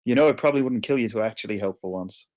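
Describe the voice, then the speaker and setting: A man's voice: mid-range voice, rapid-fire, darkish tone, close to an everyday voice. A man playing an exasperated, teasing young friend in a screenplay read, talking with sarcasm.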